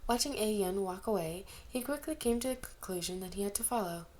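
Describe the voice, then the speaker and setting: A woman's voice: medium pitch, lively intonation, a little fast, a little mellow. Woman reading a story aloud. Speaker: amateur audiobook reader. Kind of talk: scripted narration of fan fiction.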